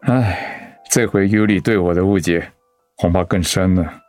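Sick husband in a radio drama, lowish voice, gentle n stoic, bit hesitant, soft music under. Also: male voice, unhurried, no echo. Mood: weary, strained. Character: gentle, stoic ailing husband, former sailor.

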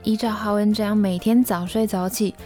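A woman's voice: mid-range voice, steady tone, normal speed, warm tone, natural voice. A woman playing a sensible, reassuring young housemate in a radio drama. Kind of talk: scripted radio drama dialogue. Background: background music.

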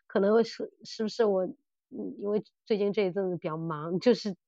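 A woman's voice: mid-range voice, moderate pace, mellow, no room sound, lo-fi sound. A woman co-hosting a podcast in a casual discussion, circling back and repeating phrases as she works out her point. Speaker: podcast co-host. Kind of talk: casual discussion of audio dramas.

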